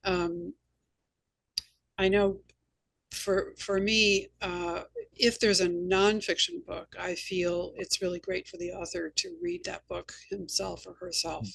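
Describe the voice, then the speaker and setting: A woman hosts a conversation among writers in a fairly low voice with noticeable vocal fry. The sound is dry and close, and she phrases her points in an articulate, measured way. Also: lively intonation, fairly bright tone, no echo, rough recording. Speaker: podcast host, novelist. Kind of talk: hosting a casual conversation.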